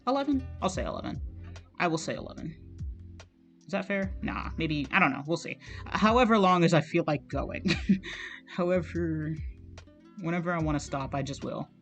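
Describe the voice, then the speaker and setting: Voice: mid-range voice, animated, normal speed, pauses often, fairly bright tone, faint music behind. A digital artist streaming, rambling casually while drawing, with a slightly strained, scratchy throat, chatty and self-conscious, laughing often and swearing casually.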